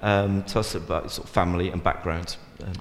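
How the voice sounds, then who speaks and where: A man's voice: deep voice, fairly even intonation, brisk. A man leading a church service, interviewing a guest in a hesitant way full of ums and restarts. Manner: hesitant, many fillers and restarts. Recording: echoey church hall, long reverb.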